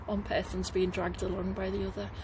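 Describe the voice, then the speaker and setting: A woman's voice: medium pitch, completely flat delivery, a little fast, background noise. A middle-aged woman with a Liverpool accent, a tour guide chatting casually to the camera outdoors and laughing often. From Liverpool, England. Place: outdoors at a garden site.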